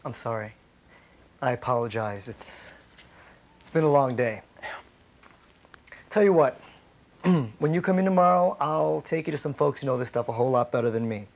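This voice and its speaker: A man's voice: medium pitch, animated, normal speed, creaky, pauses often. An actor in his thirties playing a slick, brash investment manager who is evasive under pressure, in a corporate training-film drama. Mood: smooth, placating. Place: indoor office.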